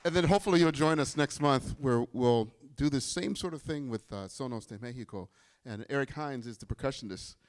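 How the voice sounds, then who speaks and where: A man's voice: mid-range voice, a little fast, hardly any pauses. A middle-aged man, a bandleader and composer, talking casually and animatedly to a live audience, often restarting his sentences.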